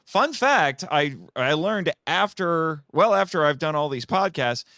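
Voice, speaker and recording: A man's voice: on the high side, lively intonation, a little slow, bright, forceful tone, no echo, clean studio sound. A man chatting casually about films as a podcast guest, opinionated and effusive, fond of superlatives. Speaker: podcast guest, kaiju film commentator. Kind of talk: casual film discussion.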